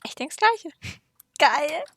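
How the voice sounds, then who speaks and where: A woman's voice: high voice, very animated, slow, fairly bright tone, no room sound, clean studio sound. A woman giving casual, wry, teasing asides as she hosts a gaming video. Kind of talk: casual let's-play commentary.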